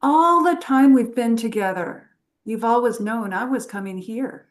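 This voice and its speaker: A woman playing a flirtatious, knowing adventurer professor in a script table read, with a teasing, unhurried delivery. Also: on the high side, natural voice. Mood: tender, brave.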